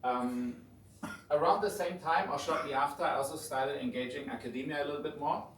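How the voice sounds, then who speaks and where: A man with a German accent giving a relaxed, conversational lecture to an audience in a hotel conference room, with frequent restarts. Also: fairly high pitch, brisk. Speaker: guest lecturer. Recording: echoey room, distant mic, faint noise.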